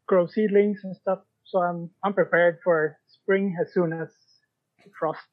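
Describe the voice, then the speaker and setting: Male guest, high voice, halting casual talk with mid-sentence pauses, muffled lo-fi recording. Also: fairly even intonation. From Sweden. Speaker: podcast guest, writer. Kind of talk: casual interview chat.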